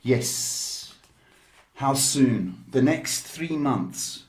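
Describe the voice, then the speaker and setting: A man hosting a psychic tarot livestream, talking slowly and playfully, tired, giving readings with pet names. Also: mid-range voice, animated.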